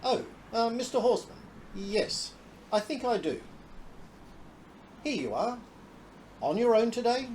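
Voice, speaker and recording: A man's voice: extremely high pitch, big pitch swings, unhurried, pauses often, a little mellow, a little hiss or hum, full character voice. A man playing a friendly, chatty postman in a radio play, amiable and a little hesitant.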